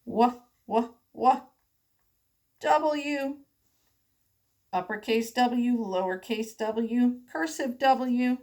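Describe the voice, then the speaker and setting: A woman preschool teacher reading the alphabet aloud to children in simple, deliberate, sing-song phrases with questions. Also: on the high side, darkish tone.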